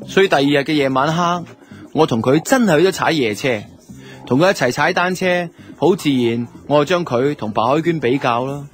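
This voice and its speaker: A man's voice: on the high side, lively intonation, moderate pace, soft background music, close to an everyday voice. A man with a Hong Kong Cantonese accent playing a guilty, self-justifying two-timing boyfriend who narrates a radio drama in colloquial first person. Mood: guilty, confessional. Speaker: lead actor and narrator.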